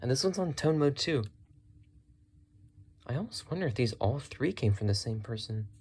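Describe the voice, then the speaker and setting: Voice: medium pitch, animated, normal speed, darkish tone, husky. A person narrating casually while working hands-on, musing and thinking aloud. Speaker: radio collector presenting a thrift find.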